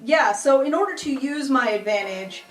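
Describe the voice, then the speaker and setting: A woman's voice: high-pitched, animated, moderate pace. A woman playing a tabletop role-playing game, casually talking through her character's reasoning. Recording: roomy, music underneath.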